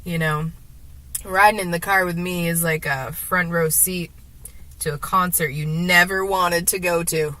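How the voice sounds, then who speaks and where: Slow, gravelly-voiced woman talking while driving. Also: fairly even intonation, pushed and bright. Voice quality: gravelly, deeper voice. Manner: hesitant, many fillers and restarts. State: sore throat. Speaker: vlogger. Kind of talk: rambling casual monologue while driving. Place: in a car.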